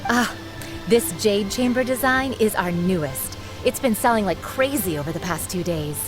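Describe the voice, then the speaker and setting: A woman's voice: mid-range voice, expressive, moderate pace, over music, natural voice, low-quality recording. Female market vendor acted by a woman, video game: mid-range, courteous, helpful sales patter. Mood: welcoming. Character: polite, helpful female kite stall vendor.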